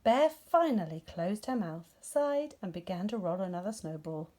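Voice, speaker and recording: A woman narrating a scripted children's story in an expressive storytelling manner. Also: mid-range voice, normal speed. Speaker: storybook reader.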